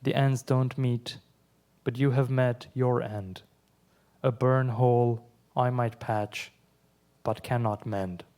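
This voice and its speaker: A young adult man from Iran, whose first language is Persian, reads his poems aloud in a measured way, with quiet asides between them. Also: medium pitch, fairly even intonation. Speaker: poet. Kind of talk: live poetry reading.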